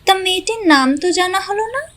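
A woman's voice: very high-pitched, animated, normal speed, sharp tone, heavily acted. A woman playing a playful young sister-in-law in an audio story, teasing and coaxing. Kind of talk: dramatized Bengali audio story.

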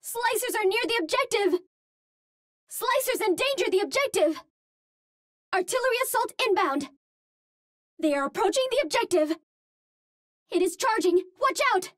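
A woman's voice: very high voice, lively intonation, moderate pace, pauses often, no echo, clean studio sound. A woman playing a cute, earnest young female Martian hero in a video game, speaking in precise, formal phrases. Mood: alarmed, urgent. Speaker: voice actor in a hero shooter game. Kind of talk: video game character voice lines.

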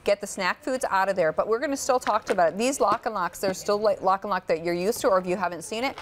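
A woman's voice: medium pitch, quick pace, a little hiss or hum. A middle-aged woman presenting products on a live shopping show, chatty and homey, sharing practical kitchen tips. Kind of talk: live home shopping demonstration. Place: TV studio kitchen set.

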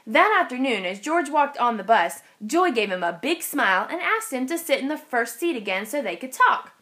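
A woman reading a children's picture book aloud in an expressive storytelling manner. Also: sits high, normal speed. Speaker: storybook reader.

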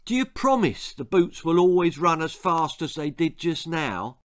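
A man's voice: fairly high pitch, big pitch swings, a little slow, voice pushed up. A man voicing an eager, sceptical young schoolboy runner in a storybook, questioning and indignant. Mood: complaining.

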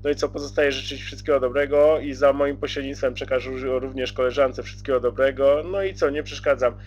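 A man's voice: mid-range voice, steady tone, fairly quick, slight background noise. A man chatting courteously and casually as a radio operator, using radio jargon. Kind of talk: casual radio contact chat. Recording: dull lo-fi CB radio audio.

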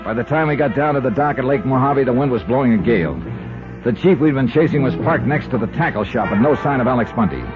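An actor playing a tough, level-headed investigator, firm and clipped, in a 1950s radio drama. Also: male voice, medium pitch, animated, fast talker, close to an everyday voice. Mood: narrating, tense. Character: tough, level-headed male investigator telling his own case. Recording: muffled 1950s radio recording with music.

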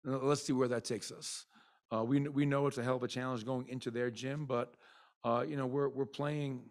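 Middle-aged male coach, postgame presser, candid and rambling, mid-pitched voice, desk mic in an arena press room. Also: fairly even intonation, normal speed. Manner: candid, rambling with many fillers. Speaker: basketball head coach. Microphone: desk mic in front.

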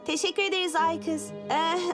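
A woman's voice: very high-pitched, steady tone, fairly quick. A woman voicing an earnest, brave and friendly young child superhero in a children's cartoon dub, with an encouraging manner. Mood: warm, sincere. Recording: loud music behind the voice. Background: loud cartoon music.

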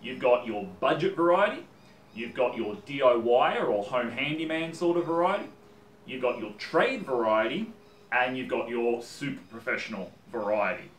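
A man's voice: medium pitch, moderate pace, recorded from a distance, slight background noise. A man in his thirties with an Australian accent, talking casually to camera as host of a woodworking channel in his home workshop. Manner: chatty and animated, gestures while talking. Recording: roomy workshop sound from off-mic.

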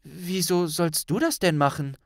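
A man's voice: a little high, measured pace, creaky, no room sound, studio quality, voice pushed up. A man voicing a concerned, questioning young witch, a female friend, in an audiobook. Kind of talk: audiobook character voice.

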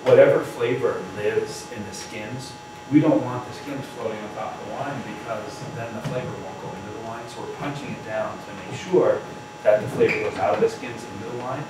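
A man in his fifties talking as a winemaker, explaining things in a thoughtful, measured, conversational way. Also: medium pitch, noisy background. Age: 50s. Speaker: winemaker guest. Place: large indoor winery barrel room and tasting bar. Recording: very echoey room, distant mic, music underneath. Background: clear background music.

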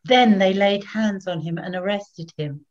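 Older woman, measured scripture narration, slow delivery, lo-fi webcam audio. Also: mid-range voice, darkish tone. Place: small plain room at home.

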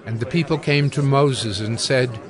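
A man narrating scripture from a script in a measured, solemn storytelling manner. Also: mid-range voice, some chatter behind. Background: soft music.

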